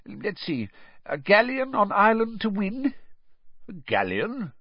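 A kindly middle-aged father from a wizard storybook, voiced by a man. He speaks gently and tentatively, with a husky grain and a pitch that moves around a good deal. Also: wildly swooping pitch, measured pace, no room sound, highs cut off, heavily acted. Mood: tentative, uneasy.

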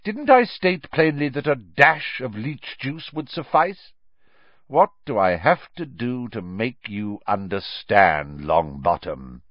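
A man's voice: mid-range voice, sing-song, slow pace, no echo, muffled recording, voice pushed up. A man voicing a cold, scornful male potions teacher with an icy, cutting delivery. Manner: icy, cutting, raised in scolding.

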